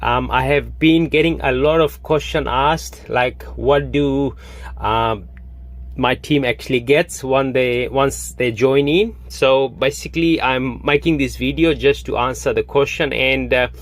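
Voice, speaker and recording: A man, a Hindi speaker, telling his story to camera in a halting way with many fillers. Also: medium pitch. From Fiji. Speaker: online business promoter. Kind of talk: casual monologue to camera.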